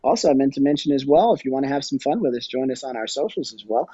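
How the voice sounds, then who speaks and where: A man with a mellow, dark-toned voice of average pitch speaks as an interview guest. He is discursive and halting, thinking aloud as he goes. The recording is dry and slightly dull. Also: lively intonation, slightly rough, no echo. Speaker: podcast guest, audio storytelling company executive. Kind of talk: conversational interview answers.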